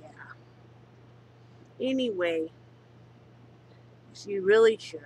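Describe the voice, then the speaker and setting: A woman's voice: mid-range voice, lively intonation, drawn-out words, a little hiss or hum, poor audio. A woman in her fifties, congested, candidly talking to her webcam at home and swearing freely. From Wyoming, United States. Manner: candid, swears freely, turns somber and quiet. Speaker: vlogger. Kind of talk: casual home vlog. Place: living room at home, on a couch. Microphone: webcam at close range.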